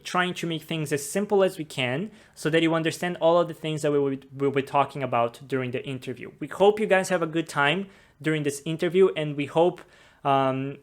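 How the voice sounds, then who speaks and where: A young man from Brazil, a podcast host, patiently explaining science in plain steps before an interview. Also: on the high side. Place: office room with a table. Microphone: desk mic on a boom arm.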